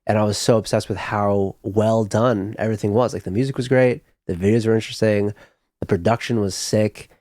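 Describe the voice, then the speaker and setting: A man from Chicago talks loosely in a podcast chat, rambling with many fillers and restarts. His voice sits a bit low. He is recorded dry and close on the mic. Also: steady tone, fairly quick, a little mellow, no echo. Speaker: podcast guest, musician. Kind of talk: casual chat.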